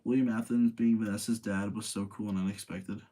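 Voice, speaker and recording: A man's voice: on the low side, flat delivery, a little fast, mellow. A man chatting casually as a livestream host, giving opinionated, rambling commentary and repeating his points for emphasis. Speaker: livestream host reviewing movies.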